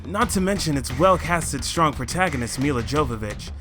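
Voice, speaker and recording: Male co-host for comedic countdown narration, higher pitch, very animated and emphatic, light music bed. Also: normal speed. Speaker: co-host of a movie countdown show.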